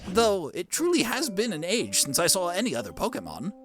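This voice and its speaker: A man's voice: sits high, huge pitch swings, brisk. A man voicing an elderly, scholarly plant-creature professor in a game, curious and kindly, with a quaint, theatrical, musing way of talking. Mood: wistful, hopeful. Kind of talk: cartoon game character dub. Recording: music behind the voice.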